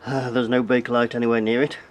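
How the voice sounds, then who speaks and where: A man hosts a casual hands-on demonstration in a medium-pitched voice. He mutters a jokey running commentary as he goes. The amateur camera audio carries some background noise. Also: flat delivery, quick pace. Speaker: video host.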